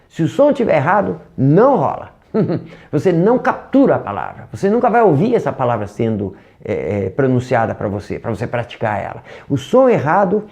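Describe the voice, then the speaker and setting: An English teacher with a Brazilian Portuguese accent gives an online lesson, animated, asking rhetorical questions and demonstrating sounds. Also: medium pitch, dull audio.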